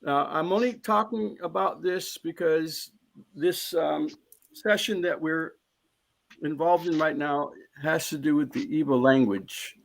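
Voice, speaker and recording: A man's voice: on the high side, measured pace, gravelly. A man speaking as a panelist in a live discussion, earnest and emphatic, often repeating a phrase as he builds toward his point.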